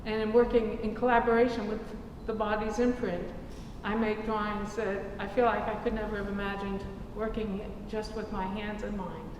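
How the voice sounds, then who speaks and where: A woman artist explaining her drawing method in a measured, explanatory gallery talk. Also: medium pitch, flat delivery. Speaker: artist presenting her work. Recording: echoey hall recorded from far off, noisy.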